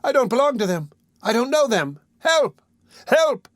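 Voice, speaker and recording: A man's voice: very high voice, sing-song, a little slow, no echo, studio quality, voice pushed up, heavily acted. A man doing a frightened young boy. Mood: panicked. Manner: alarmed, crying out.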